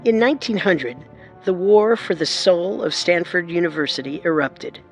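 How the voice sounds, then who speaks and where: Woman narrating with measured suspense. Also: lowish voice, expressive. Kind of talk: scripted dramatic narration. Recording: music bed beneath narration.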